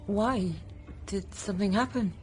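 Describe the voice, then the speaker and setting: An actress playing an earnest, self-possessed young governess in a period radio drama, restrained and polite. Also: female voice, medium pitch, a little slow, soft background music, close to an everyday voice. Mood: suspicious.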